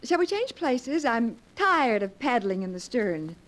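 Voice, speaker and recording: A woman's voice: a little high, very animated, measured pace, a bit bright. An actress playing an anxious young woman in a 1940s radio drama, breathless and theatrical. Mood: casual. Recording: noisy old radio, water splashes.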